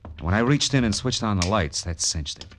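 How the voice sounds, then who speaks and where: A man's voice: fairly low pitch, lively intonation, fairly quick, a bit bright, a little hiss or hum. An actor playing a hardboiled, wry male private detective who narrates an old-time radio drama in terse, slangy phrases. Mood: narrating, intent. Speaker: lead and narrator. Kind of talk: 1940s-style radio detective narration and dialogue.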